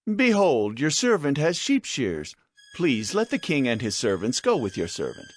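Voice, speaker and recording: A man's voice: medium pitch, huge pitch swings, moderate pace, slight background noise. A man playing a scheming young prince, persuasive and commanding, in a dramatized Bible audio. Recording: music under the voice.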